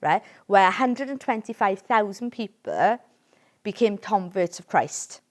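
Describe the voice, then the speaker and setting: A woman's voice: mid-range voice, lively intonation, measured pace. A middle-aged Welsh woman hosting a casual political discussion, earnest and emphatic, often checking in with "right?". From Wales.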